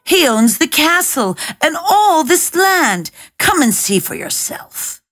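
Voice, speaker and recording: Woman voicing a crafty, high-pitched storybook cat. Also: big pitch swings, slow, fairly bright tone, soft background music, heavily acted. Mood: bold. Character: crafty, confident talking cat, eager and persuasive. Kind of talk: storybook character voice.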